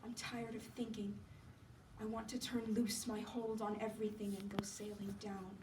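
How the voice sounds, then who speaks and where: A woman voicing a frail, listless young invalid, soft and weary, in a dramatic story. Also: mid-range voice, level tone, moderate pace, close to an everyday voice. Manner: weary, faint, trailing off. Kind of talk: solo dramatic storytelling performance. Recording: echoey room, distant mic, background noise.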